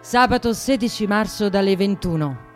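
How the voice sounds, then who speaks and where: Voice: mid-range voice, animated, moderate pace. A reader giving a dramatic, declamatory literary recitation for a promo. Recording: guitar music laid over the voice.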